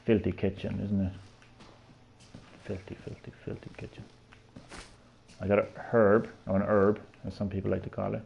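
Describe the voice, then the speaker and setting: A man's voice: fairly low pitch, little pitch movement, normal speed, vocal fry, pauses often, highs cut off, a little hiss or hum. A man in his thirties with a Cork Irish accent, chatting to his viewers as he streams a game. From Ireland. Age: 30s. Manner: chatty, jokey, talks to chat. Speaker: live streamer. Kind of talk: live gameplay commentary.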